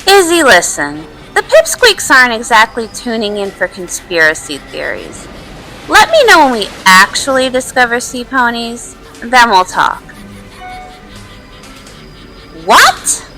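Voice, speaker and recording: Woman as a high, sassy princess pony, music behind. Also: wildly swooping pitch, unhurried, bright, forceful tone, heavily acted, low-quality recording. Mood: dismissive. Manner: whiny, sassy, exasperated. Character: self-absorbed young pop princess pony, dramatic and sassy. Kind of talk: cartoon voice acting in a comic read-along.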